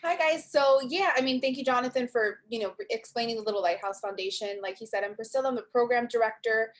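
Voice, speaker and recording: A woman's voice: a little high, quick pace. A woman in her twenties from Miami, upbeat and chatty with many fillers, presenting as program director on a video call. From Miami, USA. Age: 20s. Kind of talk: webinar presentation.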